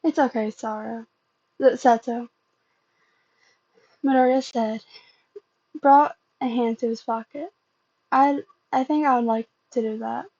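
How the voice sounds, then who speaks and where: A woman reading fan fiction aloud as an amateur, in a halting way, often stumbling and repeating phrases. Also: on the high side, steady tone, a little mellow, dry recording, dull audio.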